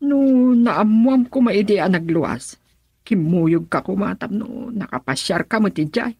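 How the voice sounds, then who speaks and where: Radio drama casting: older Ilocano village mother, inquisitive, husky mid-pitched voice, dry studio sound. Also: animated, unhurried, a little mellow, no echo. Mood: probing, concerned.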